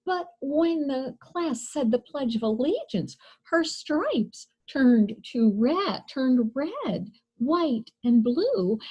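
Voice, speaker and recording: A woman with a high, slightly husky voice hosts a children's storytime. Her reading is unhurried and very animated, pitched clearly for little listeners, with an expressive storyteller's delivery. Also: low-quality recording. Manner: expressive, deliberate, addresses young listeners with simple questions. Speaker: children's librarian, storytime host. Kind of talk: read-aloud picture book storytime for young children.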